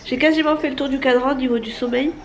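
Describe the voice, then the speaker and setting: Casting: woman in her 30s, congested cold voice, higher pitch, rambling home-vlog chatter to a handheld camera, faint background noise. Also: steady tone, quick pace. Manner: chatty, rambling, many restarts and fillers. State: stuffy nose from a cold. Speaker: family vlogger. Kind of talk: casual vlog chat to camera. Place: apartment, indoors. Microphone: handheld camera at arm's length.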